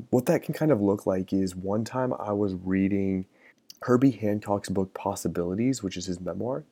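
Man, low voice, gravelly, mellow tone, reflective podcast talk, lots of ums. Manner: thoughtful, meandering, with many fillers. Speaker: podcast host.

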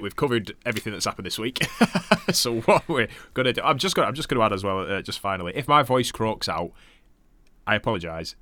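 A man's voice: low-pitched, sharp tone, no echo. A man hosting a casual wrestling podcast, upbeat and jokey, presenting the next episode like a presenter. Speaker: podcast host. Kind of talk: casual chat.

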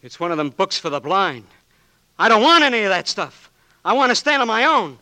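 A desperate newly blinded young marine. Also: male voice, high-pitched, big pitch swings, a little fast, heavily acted. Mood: anguished. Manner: pleading, breaks into anguished shouting. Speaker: wounded marine patient. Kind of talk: 1940s radio drama acting. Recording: dry old radio broadcast.

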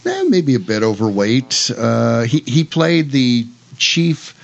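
An older man co-hosting a radio talk show, chatting casually and reading bits aloud in a halting way. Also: mid-range voice, very animated, vocal fry, highs cut off. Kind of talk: casual chat about old radio westerns, some reading aloud.

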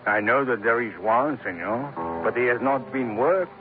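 An actor playing an uneasy, superstitious, deferential Venezuelan laborer, hesitant, in 1950s radio drama style. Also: male voice, medium pitch, moderate pace, music in the background, lightly acted. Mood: dismissive. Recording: noisy, narrow-band 1950s radio recording.

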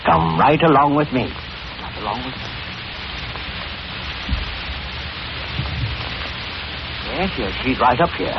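An actor playing a smugly genial older killer in 1940s radio horror, with a mock-courteous, unctuous manner, on an old broadcast recording. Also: male voice, medium pitch, sing-song, brisk, highs cut off, very noisy, lightly acted. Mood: falsely soothing. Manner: mock-courteous, unctuous, chuckling, repeats himself. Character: sinister, smugly genial older male killer luring young women.

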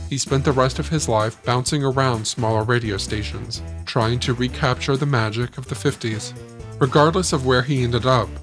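Medium-pitched man narrating a documentary.

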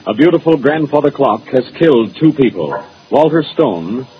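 A man's voice: mid-range voice, lively intonation, normal speed. A man narrating as an announcer in scripted 1940s radio mystery style. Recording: muffled old radio recording. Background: music.

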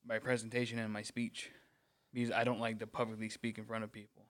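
A man's voice: fairly low pitch, monotone, fast, a bit bright. A man co-hosting a casual podcast chat, hesitant and self-deprecating. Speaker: podcast co-host.

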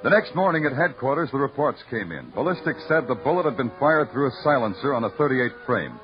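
A man's voice: medium pitch, fairly quick, soft background music, natural voice. Man as hard-boiled, brooding radio detective. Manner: terse, deadpan, brooding. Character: hard-boiled, world-weary male New York night-shift police detective. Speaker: lead actor and narrator. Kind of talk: noir radio drama narration. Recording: dull vintage radio recording.

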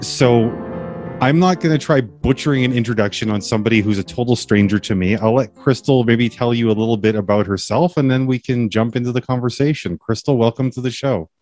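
A man's voice: mid-range voice, a little fast, darkish tone, faint music behind. A man hosting an interview podcast, asking curious, rambling questions with frequent restarts. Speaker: podcast host. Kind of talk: casual interview chat.

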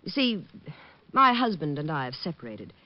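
A woman's voice: sits low, big pitch swings, moderate pace. A woman playing a glamorous, self-assured actress in a vintage radio drama, poised and coy. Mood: anxious, poised. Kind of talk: 1950s radio drama acting. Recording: muffled vintage radio recording.

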